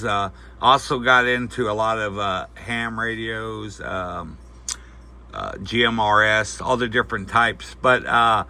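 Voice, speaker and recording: Bright-voiced man rambling through a review. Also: mid-range voice. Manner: folksy, hesitant, rambling delivery. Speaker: product reviewer. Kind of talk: casual unscripted gadget review.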